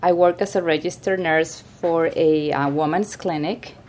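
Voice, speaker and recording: A woman's voice: low-pitched, steady tone, unhurried, darkish tone. A woman in her forties from Mexico, a registered nurse, plain-spoken with small restarts. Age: 40s.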